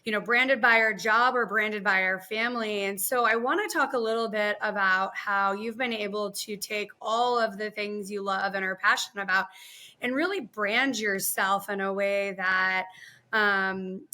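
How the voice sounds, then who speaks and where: Bright-voiced woman host asking questions. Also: mid-range voice, steady tone, normal speed. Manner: rambling, hesitant questions with fillers. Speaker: interview host. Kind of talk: interview question.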